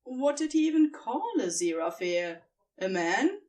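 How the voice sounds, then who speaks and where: A woman narrating fan fiction as an expressive scripted audiobook reading. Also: on the high side, measured pace, recorded from a distance.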